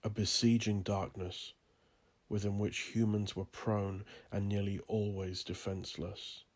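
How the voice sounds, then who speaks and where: Male horror narrator: low, husky voice, measured and brooding, restrained intonation. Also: level tone, a little mellow. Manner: slow, brooding, deliberate delivery. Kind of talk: dark horror audiobook narration.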